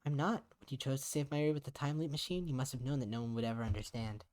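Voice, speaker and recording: A man's voice: medium pitch, steady tone, fast talker, darkish tone, lightly acted. A man voicing an earnest young woman in a visual novel, blunt but caring, questioning frankly and insistently. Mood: frank, understanding.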